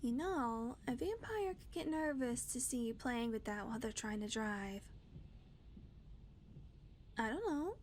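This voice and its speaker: A sardonic, ageless female vampire, played by a woman in an intimate audio drama. Her voice sits high, and she teases in a dry, blunt way, often dropping into soft whispers. A faint hiss of background noise runs underneath. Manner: dry teasing with frequent soft whispered asides. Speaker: audio roleplay performer. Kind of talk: intimate audio roleplay acting.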